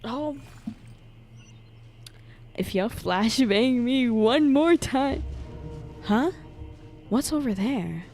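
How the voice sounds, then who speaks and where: A woman's voice: on the high side, animated, extremely slow, pauses often, a little mellow, soft background music. A giggly, playful woman livestreamer casually reacting to a video game as she plays. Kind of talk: casual reactions and commentary to gameplay.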